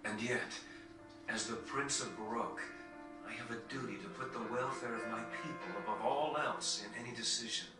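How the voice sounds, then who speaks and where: A man acting a dutiful, earnest young prince in a video game, speaking in a formal, measured, regretful way. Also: mid-range voice, natural voice. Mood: grave, dutiful. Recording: echoey, distant, over game music.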